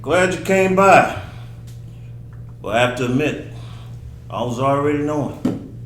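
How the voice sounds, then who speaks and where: A man's voice: on the high side, expressive, unhurried, fairly bright tone. A man playing an eccentric, hard-drinking uncle in a screenplay table read, with a theatrical delivery. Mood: welcoming. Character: disheveled, hard-drinking eccentric uncle who talks to his dead mother. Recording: echoey room, distant, faint noise.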